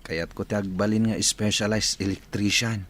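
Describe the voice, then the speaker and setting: A man's voice: lowish voice, flat delivery, moderate pace, fairly bright tone, close to an everyday voice. A man playing a determined, lovestruck young student in a radio drama, with a Filipino accent. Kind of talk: village radio drama acting.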